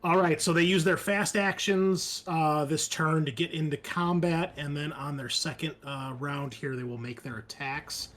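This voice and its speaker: A man's voice: on the high side, steady tone, moderate pace, hardly any pauses, a bit bright. A man working as game master, narrating a horror roleplaying game, moving between dramatic description and casual rules talk.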